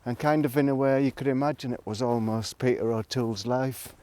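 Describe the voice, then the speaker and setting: A man talking casually to a vlog audience in a reflective, rambling monologue, musing haltingly and trailing off between thoughts. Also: mid-range voice, steady tone, mellow. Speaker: vlogger. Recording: noticeable background noise, clicks.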